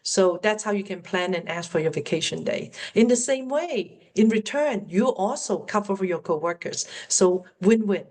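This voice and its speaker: A woman's voice: medium pitch, animated, moderate pace, few pauses, a little mellow. Cantonese-accented woman coaching a webinar. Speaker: workplace communication coach. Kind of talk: webinar Q&A teaching.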